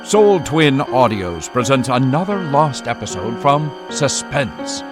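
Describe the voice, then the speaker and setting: A man narrates as host of an old-time radio show. His mid-pitched voice is theatrical and scripted, with prominent music behind it. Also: big pitch swings, takes it slowly.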